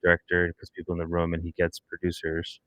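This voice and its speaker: A man talking casually on a podcast in a studio, making his points deliberately with pointed questions. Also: low voice, completely flat delivery, a bit bright, a little hiss or hum. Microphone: close studio broadcast mic.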